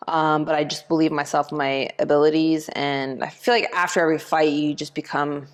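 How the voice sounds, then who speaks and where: Low-voiced young woman telling her story. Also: normal speed. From Canada. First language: Portuguese. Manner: relaxed storytelling, many "like" fillers, acts out quoted dialogue. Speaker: professional boxer, guest. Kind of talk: casual interview talk.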